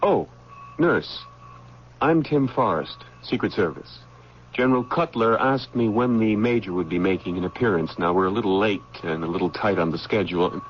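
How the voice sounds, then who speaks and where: Deferential, efficient male aide played by an actor, lowish voice, placating, muffled vintage radio drama. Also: moderate pace, close to an everyday voice. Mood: polite, businesslike. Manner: polite, placating. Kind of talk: old-time radio drama acting. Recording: dull old radio recording, faint noise.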